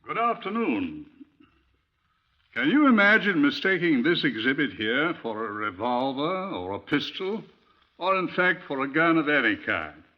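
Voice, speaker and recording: An actor playing an older British chief inspector who curates a police museum, chatting wryly and digressively as a radio host. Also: male voice, fairly high pitch, sing-song, measured pace. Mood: wry, chatty. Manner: conversational, digressive, wry. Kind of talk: 1950s radio drama storytelling. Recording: dull lo-fi old radio recording.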